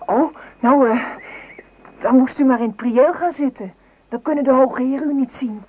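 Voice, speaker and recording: A woman's voice: high-pitched, normal speed, a little mellow, breathy edge. Tender, hesitant young wartime woman. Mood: timid, flustered. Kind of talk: Dutch radio play acting.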